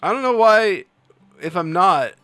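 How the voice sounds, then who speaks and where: A man's voice: high voice, expressive, a little slow, a bit bright, no echo, faint music behind. A young man from New Jersey hosting a live stream, chatting casually with his chat, rambling and laughing often. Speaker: livestream host.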